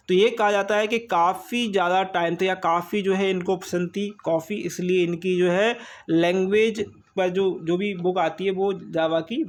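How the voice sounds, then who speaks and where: A man with an Indian accent and a high-pitched voice teaches in an informal exam-prep style. He goes through material instructively, repeating key phrases and punctuating them with short check words. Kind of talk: informal lesson going through multiple-choice questions.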